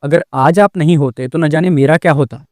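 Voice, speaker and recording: A man plays a remorseful young cartoon deer, earnest and apologetic. The voice is a little high for a male and recorded very dry. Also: normal speed, a little mellow, dry recording, natural voice. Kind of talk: Hindi cartoon dubbing.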